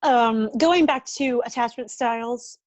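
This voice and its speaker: A woman's voice: on the high side, measured pace, natural voice. A woman acting an earnest, eager thirty-year-old psychologist in a comedy table read, polite in manner.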